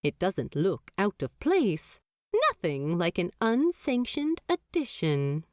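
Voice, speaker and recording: A woman narrating a fanfiction audiobook in a measured, expressive storytelling manner. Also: fairly low pitch, no echo, studio quality.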